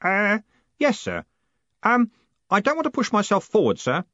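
Guy doing a naive young clerk in a storybook, eager and polite, voice pitched up high and bouncy. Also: huge pitch swings, moderate pace, pushed and bright, no echo, dull audio, exaggerated voice. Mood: timid, hesitant. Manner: deferential, earnest, enumerates pedantically. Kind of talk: audiobook character voice.

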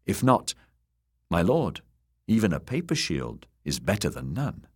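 A man's voice: low-pitched, huge pitch swings, a little slow, breathy edge, heavily acted. A man voicing a timid, earnest young male scholar in an audiobook, hesitant and deferential in manner. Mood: anxious, urging. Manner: hesitant, nervous, stressing his words.

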